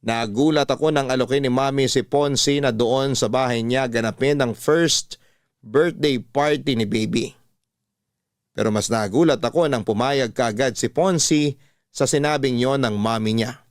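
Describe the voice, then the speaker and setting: A man's voice: medium pitch, a little slow, no echo, studio quality. Earnest male radio letter reader. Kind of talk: scripted first-person narration.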